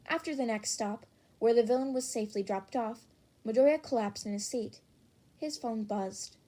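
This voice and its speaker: A woman's voice: fairly high pitch, moderate pace, mellow. A woman reading fan fiction aloud as an amateur audiobook narrator.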